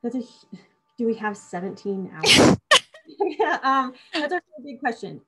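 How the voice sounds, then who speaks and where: A woman who works as a speech-language pathologist, explaining her therapy approach in a relaxed conversation and working through examples of what she asks her clients. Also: fairly high pitch, unhurried, slightly rough, low-quality recording. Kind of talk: casual professional conversation.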